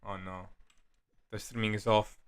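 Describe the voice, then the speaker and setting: A man giving casual live commentary on a video game he streams, speaking in a halting, hesitant way. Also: on the low side, little pitch movement, very noisy. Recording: game music behind the voice. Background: video game music.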